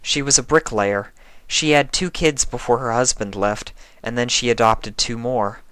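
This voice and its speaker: Man voicing an earnest young mare, pitched up. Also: normal speed, a bit bright, smooth, dry recording, close to an everyday voice. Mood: cheerful, informative. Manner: eager, explanatory. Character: bright, earnest young mare pony, chatty and polite.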